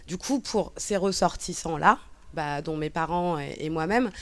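A woman's voice: deep voice, normal speed. Hesitant, reflective woman in her thirties. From Cabinda, Angola. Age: 30s. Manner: hesitant, reflective, many fillers and restarts. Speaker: interview guest. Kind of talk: personal testimony in conversation.

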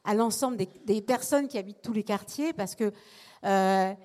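A woman's voice: mid-range voice, moderate pace, a little mellow. Earnest woman theatre director on a panel. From not from Marseille, lives there. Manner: earnest, restarts phrases often. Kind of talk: panel discussion.

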